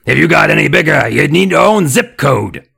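A sinister storybook dummy come to life, acted out by a man, with a raised, raspy growl. It mocks and insults in a loud, nasty way. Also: a little high, very animated, normal speed, voice pushed up, heavily acted. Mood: nasty, mocking. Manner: mocking, insulting.

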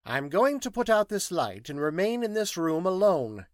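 A man's voice: high-pitched, sing-song, moderate pace, no room sound, studio quality, voice pushed up. A man voicing a curt, petulant, exacting scientist detective in an audiobook story, speaking precisely and didactically. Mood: grave, commanding.

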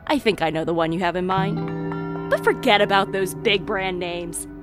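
A woman's voice: mid-range voice, normal speed, natural voice. An actress playing a sly, conspiratorial woman storyteller in an audio drama, speaking theatrically and teasingly straight to the listener. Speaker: narrator character of an audio drama. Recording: music playing behind the voice.

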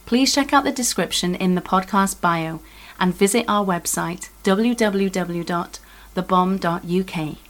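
A woman's voice: on the low side, a little slow. A middle-aged woman hosting a podcast in a conversational interview style. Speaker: podcast and radio host interviewing a guest.

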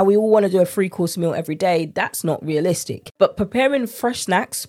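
A woman's voice: on the low side, darkish tone. A woman with a congested, slightly nasal voice hosting a casual solo podcast as a coach, speaking chattily and directly to listeners. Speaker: podcast host and nutrition coach.

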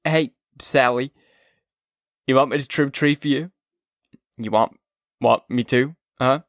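A man's voice: mid-range voice, slow pace, no echo, studio quality. A man reading aloud, voicing a drunk teenage boy who slurs and stammers on the phone. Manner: slurred, stammering.

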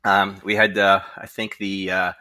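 A man with a low-pitched, bright voice talks as an interview guest. He explains his work conversationally, circling through halting asides. The sound is very dry. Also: little pitch movement, no echo. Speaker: guest, tech entrepreneur and inventor.

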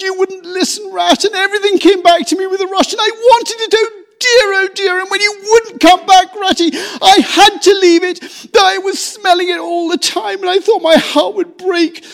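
A man voicing a timid, tearful little male mole, speaking in a high, quavering, sobbing voice. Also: fairly even intonation, normal speed, vocal fry, hardly any pauses, a bit bright, full character voice.

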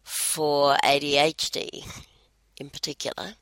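A woman co-hosting a casual podcast, speaking in a measured, reflective way. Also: deep voice, level tone, a bit bright, smooth, dry recording, rough recording. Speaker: podcast co-host.

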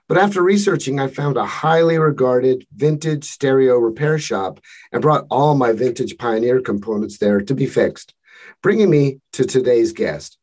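Husky-voiced male podcast host. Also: mid-range voice, a little mellow. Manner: measured, reads from a script. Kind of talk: scripted podcast intro and interview questions.

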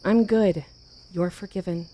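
An actress playing a composed but anxious, caring leader in a fiction podcast, speaking in a measured, earnest way. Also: female voice, lowish voice, fairly even intonation, mellow. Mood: firm, reassuring. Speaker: leader of a survivors' haven. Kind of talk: naturalistic fiction podcast acting.

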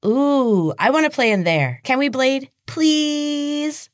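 A sinister little girl in a fantasy storybook. The voice jumps up high into a shrill, childish whine, gushing with overdone enthusiasm. It is recorded close and dry. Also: slow, dry recording. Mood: whining, pleading. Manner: over-the-top childish enthusiasm. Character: evil being posing as a bubbly little girl.

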